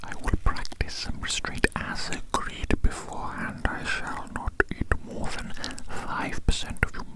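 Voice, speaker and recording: Whispering, gleeful flesh-eating alien, softly acted out. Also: measured pace, natural voice. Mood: polite, reassuring. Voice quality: breathy unvoiced whisper. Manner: soft, repetitive, savouring, chatty musing. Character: polite, gleeful human-eating alien savouring its meal. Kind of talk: whispered ASMR roleplay. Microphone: very close microphone.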